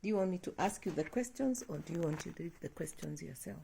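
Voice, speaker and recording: Woman, parent-teacher role, slightly low register with plenty of vocal fry, casual and encouraging, attentive prompts. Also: animated, quick pace, warm tone, slight background noise. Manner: attentive, encouraging, often murmuring agreement. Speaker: parent teaching a child at home. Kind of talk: casual encouraging read-along prompting and questioning.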